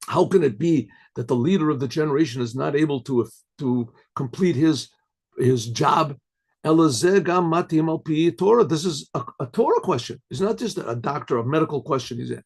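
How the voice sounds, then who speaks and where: Husky-voiced rabbi teaching a class. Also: male voice, on the high side, lively intonation, fairly quick. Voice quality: husky, clears his throat often. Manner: conversational, rhetorical questions, tags "right?". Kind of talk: informal Torah class lecture.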